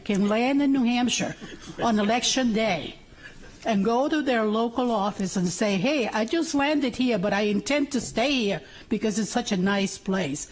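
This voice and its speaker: A woman's voice: a little high, a little mellow, low-quality recording. Woman giving anecdotal public testimony. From Puerto Rico. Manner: anecdotal, acts out remembered remarks. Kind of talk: informal spoken testimony at a public hearing. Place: city council chamber hearing.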